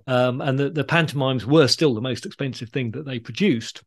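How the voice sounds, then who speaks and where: A man's voice: mid-range voice, normal speed. A man hosting a conversational history podcast, talking with a storyteller's enthusiasm. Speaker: podcast host.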